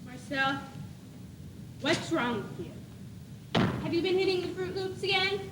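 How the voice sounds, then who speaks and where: A woman's voice: very high voice, expressive, a little slow. A young woman playing a perky, teasing baby doll sister with a cheeky manner in an amateur stage comedy. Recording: far, echoey, noisy stage videotape.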